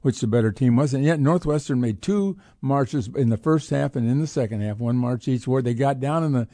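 A man's voice: medium pitch, fairly quick, warm tone, no echo. A man with an American accent co-hosting sports talk, giving off-the-cuff, opinionated casual commentary. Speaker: sports talk show co-host.

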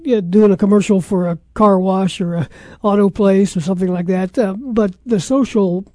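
A man's voice: high-pitched, fairly even intonation, moderate pace, a little mellow, no room sound, clean studio sound. An older man hosting a sports talk radio show in a conversational style.

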